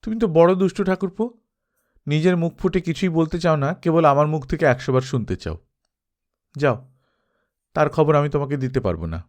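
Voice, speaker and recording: A man's voice: a little high, lively intonation, brisk, pauses often, lightly acted. Man voicing a teasing young Bengali sister-in-law. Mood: mock anger. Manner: teasing, mock-scolding. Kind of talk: dramatised audiobook reading.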